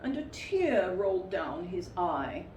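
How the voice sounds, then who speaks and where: A woman reads a children's story in an expressive, deliberate way. Her pitch swings in an animated manner at an unhurried pace. The recording is roomy, with some distance from the mic. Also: slight background noise.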